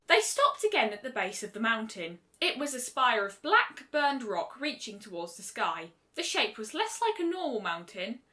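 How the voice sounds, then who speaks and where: A woman narrates a story in a high, bright voice. Her reading is lively, with pitch that moves around a good deal. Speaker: fan fiction reader. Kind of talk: scripted story narration, read aloud with character voices.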